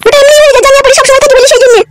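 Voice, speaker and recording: A man's voice: very high-pitched, level tone, rapid-fire. A man voicing a suspicious, nagging married woman in a comedy cartoon. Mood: coy, questioning. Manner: nagging, indignant questioning.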